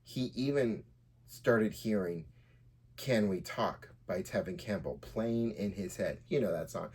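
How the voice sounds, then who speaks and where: A man's voice: fairly low pitch, a little slow, darkish tone, breathy edge. A man hosting a storytelling podcast as a writer, moving between casual chat and reading from their own fiction.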